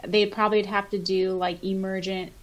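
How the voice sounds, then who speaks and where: A woman's voice: mid-range voice, flat delivery, brisk, muffled recording. A woman speaks as a podcast co-host in a casual, conversational way. She reads comments aloud and chats about them, with a light, giggly manner. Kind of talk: casual chat, reading out listener comments.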